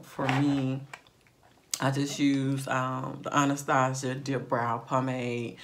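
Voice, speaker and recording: A chatty woman talks viewers through her makeup in a low, slightly raspy voice. She rambles easily, full of fillers and friendly asides. Faint noise sits behind her. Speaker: beauty vlogger. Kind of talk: casual makeup tutorial chat.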